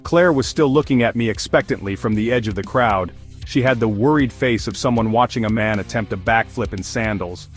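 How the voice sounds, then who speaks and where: A man's voice: on the low side, brisk. A man narrating a first-person comedic fantasy story as a scripted audio series. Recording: music behind the voice.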